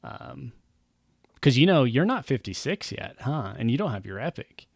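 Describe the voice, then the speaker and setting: A man with a gravelly, fry-edged voice talks casually and rambles over a game stream, wry and self-mocking. His voice is close and dry, with no room around it. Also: medium pitch, big pitch swings, normal speed, dry recording.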